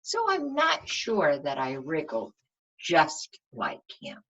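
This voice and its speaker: Woman, low voice, very animated intonation, kindergarten storybook read-aloud, simple child-directed phrasing. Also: slow, lo-fi sound. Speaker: kindergarten teacher reading aloud.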